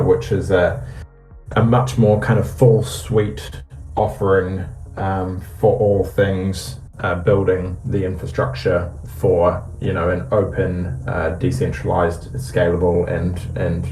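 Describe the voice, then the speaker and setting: Relaxed New man, roomy sound. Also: deep voice, gravelly. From New Zealand. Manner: relaxed, many ums and restarts. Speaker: guest, company CEO. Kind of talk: casual interview on a voice call. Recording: roomy echo, faint music behind.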